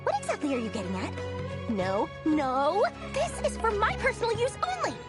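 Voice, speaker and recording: High, theatrical greedy merchant girl. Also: huge pitch swings, normal speed, loud music behind the voice, full character voice. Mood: alarmed. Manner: pompous salesman patter, dramatic swings. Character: greedy, theatrical, self-important female traveling merchant. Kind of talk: animated video game cutscene voice acting.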